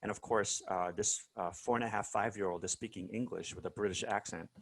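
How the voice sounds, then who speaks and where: A man's voice: fairly low pitch, fairly even intonation, fairly quick. A man from South Korea being interviewed over a video call, telling stories casually with many fillers and restarts. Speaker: guest musician.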